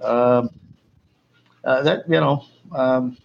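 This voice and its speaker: A man from Colombia, a missionary guest, answering interview questions in a halting way with many fillers. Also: medium pitch, fairly even intonation, gravelly, highs cut off, slight background noise.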